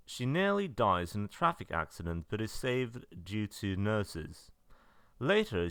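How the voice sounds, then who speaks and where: A man's voice: fairly low pitch, fairly bright tone, no room sound, studio quality. A man narrating an informal scripted review, matter-of-fact with casual asides. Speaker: video narrator reviewing releases.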